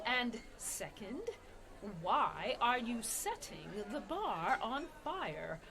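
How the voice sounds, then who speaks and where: A woman's voice: a little high, a bit bright, exaggerated voice. A woman playing a sweet, prim and naive cleric in a comedy radio play.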